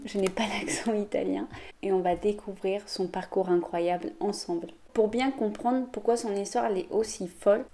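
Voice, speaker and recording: Youtuber woman telling a true story, mellow warm voice, casual chatty delivery. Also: mid-range voice. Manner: chatty, addresses viewers directly. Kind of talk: storytelling vlog.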